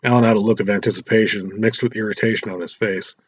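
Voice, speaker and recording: A man's voice: fairly low pitch, steady tone, fast, husky. A man narrating a scripted story as an audiobook reader. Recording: roomy echo, dull lo-fi sound.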